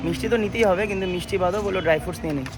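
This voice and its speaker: A man's voice: sits high, rapid-fire. A man chatting casually as a family vlogger. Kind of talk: casual family vlog chat. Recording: loud music, traffic and vehicle noise.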